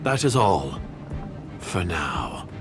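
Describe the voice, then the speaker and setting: Low-voiced scheming agent. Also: male voice, big pitch swings, exaggerated voice. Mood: cold, restrained. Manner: measured, condescending, businesslike. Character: cold, calculating foreign agent, impatient and condescending. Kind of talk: theatrical video game voice acting. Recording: clear music behind the voice.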